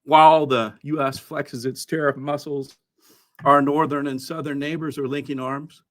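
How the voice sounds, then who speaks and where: A man hosting a news countdown, part reading and part ad-libbing his commentary in a halting way, with wry asides. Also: fairly high pitch, level tone. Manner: halting, with frequent throat clearing and wry asides.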